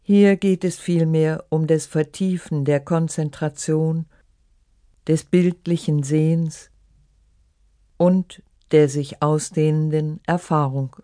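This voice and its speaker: A meditation guide reading a scripted relaxation in short, measured, instructive phrases. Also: medium pitch, fairly even intonation, mellow, dry recording, studio quality. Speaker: yoga nidra meditation guide.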